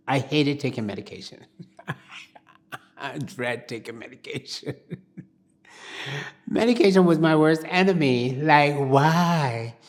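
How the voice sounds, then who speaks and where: An older woman from Barbados, telling her life story in an oral history interview in a plain-spoken, reflective way. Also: extremely low pitch.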